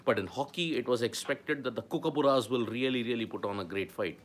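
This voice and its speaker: An Indian man hosting a morning sports news roundup. His voice sits at a middle pitch, and he speaks emphatically, doubling up words to hammer home each point. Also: fast talker. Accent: Indian English. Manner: emphatic, repeats key words for effect.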